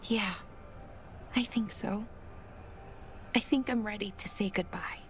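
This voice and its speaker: A woman playing an earnest, conflicted young elf scholar in a video game, speaking in a thoughtful, halting way. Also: mid-range voice, a little hiss or hum, natural voice. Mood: sad, resigned. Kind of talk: dramatic video game dialogue.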